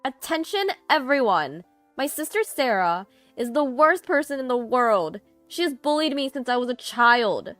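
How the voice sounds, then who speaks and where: Woman acting a shrill-tempered spiteful sister, raised pitch. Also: big pitch swings, normal speed, no echo. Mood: spiteful, boastful. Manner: loud, indignant, accusing. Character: spiteful, vain, shouting younger woman, jealous sister. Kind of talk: dramatized storytelling. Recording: music behind the voice.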